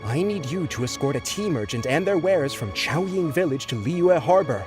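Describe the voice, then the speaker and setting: An actor playing a brisk, businesslike male dispatcher in a video game, who sounds a little evasive. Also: a little high, animated, natural voice. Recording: game audio with music behind.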